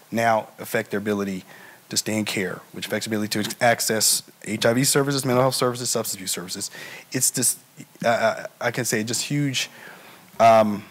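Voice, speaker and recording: A man answers a question on a panel at a public meeting, speaking as a health care provider. His voice sits a little low, and he talks hesitantly, pausing often to gather his thoughts. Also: a bit bright. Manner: thoughtful, hesitant, many ums.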